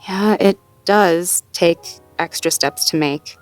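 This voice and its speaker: A woman's voice: fairly low pitch, no echo. A woman playing a sweet, self-doubting, playfully wry girlfriend in an intimate audio roleplay, talking casually and conversationally with a partner. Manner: conversational, casual, with sighs and soft chuckles. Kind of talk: intimate slice-of-life audio roleplay acting, one-sided conversation.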